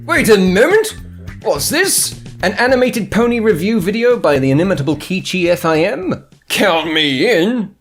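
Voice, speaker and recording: Guy doing jokey scripted host narration, super animated and theatrical, bit of music behind him. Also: high voice, moderate pace. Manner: theatrical, mock-grand, playful alliterative flourishes.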